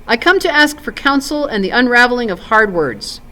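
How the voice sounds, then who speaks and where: A woman's voice: fairly high pitch, lively intonation, normal speed, pushed and bright, voice pushed up. A woman voicing a proud, doubting nobleman warrior in formal, archaic storybook speech. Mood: grave, formal.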